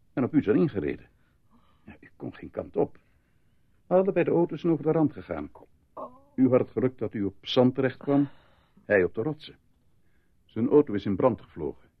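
An actor playing a calm, formal male doctor at a military hospital, soothing and measured, in a radio drama. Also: medium pitch, narrow, tinny audio.

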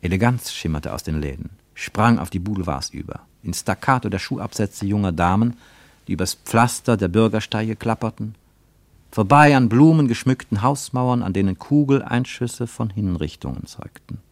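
A man reading a scripted literary narration for a radio play in a measured, deliberate way. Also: fairly low pitch, lively intonation, dry recording.

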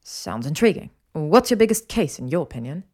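A suave young gentleman wizard played by a woman narrator in an audio story. His voice sits low, with a courteous, smooth, understated manner. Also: sing-song, fairly quick. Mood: admiring, cool.

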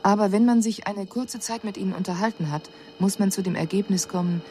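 An actress playing a female alien emissary, giving a measured, formal and precise report in a radio drama. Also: medium pitch, warm tone, slight background noise, lightly acted. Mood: cool, concerned. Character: female alien emissary, cool observer puzzled by human inaction.

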